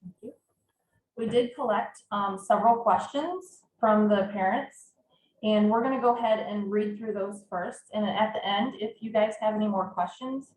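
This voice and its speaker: A woman moderating an online panel and reading out questions, with roomy call audio. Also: mid-range voice.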